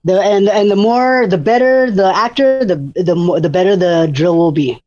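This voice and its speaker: A man's voice: high voice, lively intonation, normal speed, darkish tone. A man who coordinates disaster preparedness for a food bank, talking enthusiastically as a radio guest, with many fillers and restarts. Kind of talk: casual radio interview. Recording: muffled lo-fi sound.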